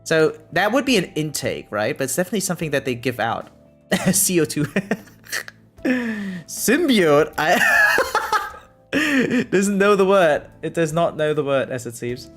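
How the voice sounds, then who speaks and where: A game streamer with a mid-pitched voice talks casually on a live stream, thinking aloud as they go. The delivery rambles and often tags thoughts with a quick check-in question. Music plays behind the voice. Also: big pitch swings. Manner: rambling, repeatedly tags sentences with "right?". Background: background music.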